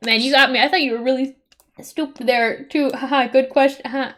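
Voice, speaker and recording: A woman's voice: high voice, fairly even intonation, brisk, a bit bright. A woman streamer reading a web comic aloud, mixing narration with casual banter.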